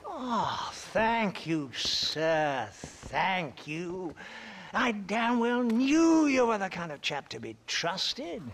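An actor playing a dissolute, jovial middle-aged English trader in a Western video game, stammering and pompous, with a British accent. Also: male voice, high voice, sing-song, extremely slow, a bit bright, a little hiss or hum, exaggerated voice. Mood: grateful, evasive. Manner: blustering, stammering, pompous, tags phrases with "what?". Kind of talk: video game cutscene acting.

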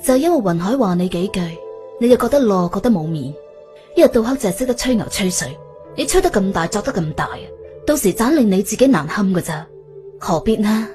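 Cold, mellow-voiced scornful wife acted by a woman. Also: medium pitch, expressive, a little fast, close to an everyday voice. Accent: Cantonese. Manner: icy, contemptuous, belittling. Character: cold, scornful, fed-up wife demanding divorce. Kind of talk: audiobook character dialogue. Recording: background music under the voice.

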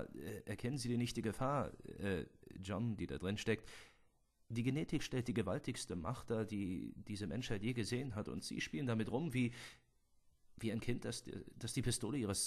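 A man voicing an outspoken, cynical scientist, gravely critical and lecturing in a halting way, in a film scene reenactment. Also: mid-range voice. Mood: warning.

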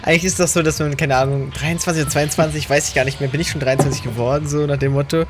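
A man's voice: fairly high pitch, steady tone, very fast, over music. A man in his twenties hosting a game livestream, chatting with his partner and talking directly to viewers. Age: 20s. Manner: chatty, addresses viewers, teasing. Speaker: streamer, channel host. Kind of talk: casual gaming livestream chat. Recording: noisy game audio behind.

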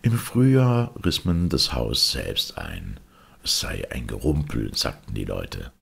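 A man narrating a fairy tale expressively, with a gravelly, husky voice. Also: rumbling low, very animated, slow. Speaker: audiobook narrator. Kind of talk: expressive scripted storytelling.

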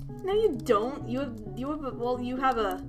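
A young woman chatting excitedly while playing a game with friends, often stammering and restarting sentences. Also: high-pitched, normal speed, over music. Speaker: gamer. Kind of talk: casual gaming chat with friends.

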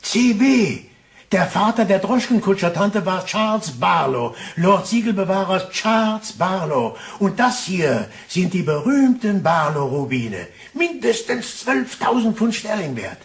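An actor playing a shrewd, genial detective in a German radio crime drama, speaking in a theatrical, inquisitive and courteous way. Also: male voice, high-pitched, big pitch swings, measured pace, vocal fry, heavily acted. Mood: triumphant. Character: shrewd, genial male police detective, quietly triumphant.

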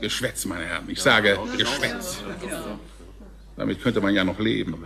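An actor playing a scornful, alarmed official chairing a crisis meeting, formal and oratorical, in a science fiction radio drama. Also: male voice, lowish voice, a little slow, gravelly, crowd noise. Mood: scornful, commanding. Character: scornful, alarmed male crisis committee chief.

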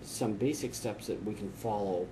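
A man in his forties explaining computer steps to camera in a relaxed, chatty way with frequent fillers, filmed in a small office. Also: fairly low pitch, normal speed, background noise. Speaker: tech tips host. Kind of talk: casual how-to explanation to camera.

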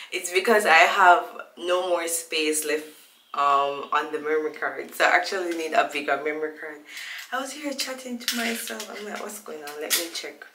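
A woman's voice: lowish voice, bright, forceful tone, reverberant, slight background noise. A woman in her twenties from Jamaica, talking casually to the camera in a small room at home, chatty and rambling as she loses her train of thought. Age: 20s. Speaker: vlogger. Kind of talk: casual vlog chat to camera.

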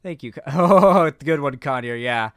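A young American man with a slightly high voice reacts as a live-streamer. He is indignant and sarcastic, stumbling over words and swearing freely. The sound is close and fairly dry. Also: lively intonation, fairly bright tone, dry recording. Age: 20s. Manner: indignant, sarcastic, halting, profane. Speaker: live-streamer, political commentator. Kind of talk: casual reaction commentary. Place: small home streaming room. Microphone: close boom mic.

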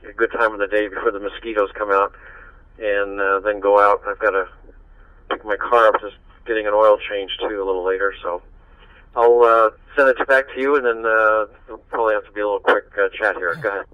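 A man's voice: fairly low pitch, steady tone, a little fast, no echo, phone-quality sound. A man chatting casually over a two-way digital radio, friendly and rambling as an amateur operator. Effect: two-way digital radio transmission.

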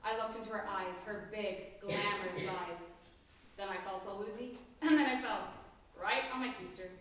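A woman's voice: mid-range voice, steady tone, normal speed, a bit bright, slight background noise, natural voice. A woman playing a cheerful, breezy young groom who wears a blindfold on a school stage. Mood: chipper. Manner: breezy, chipper, dropping in French. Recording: echoey theatre from far off.

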